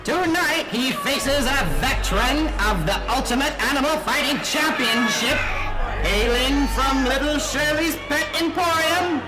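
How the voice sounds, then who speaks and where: A man's voice: very high-pitched, a little slow. A man playing a showy, bombastic arena fight announcer introducing fighters in a radio serial. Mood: grand, hyped. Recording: echoing arena, loud crowd and music.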